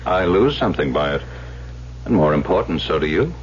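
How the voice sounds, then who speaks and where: A composed, probing analyst, very low voice with expressive inflection, old radio drama recording. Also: male voice. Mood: probing, patient. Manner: measured, formal, probing. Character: composed, probing male psychiatrist, patient and formal. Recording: muffled, hissy old radio broadcast recording.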